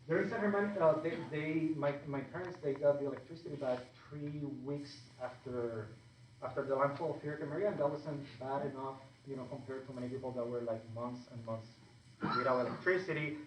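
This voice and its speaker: A man with a Puerto Rican accent moderating a panel, talking to a full room in an unhurried way with pauses between phrases. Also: mid-range voice, far from the mic, slight background noise. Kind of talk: public talk to an audience. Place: large event room with an audience. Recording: echoey room recorded from afar.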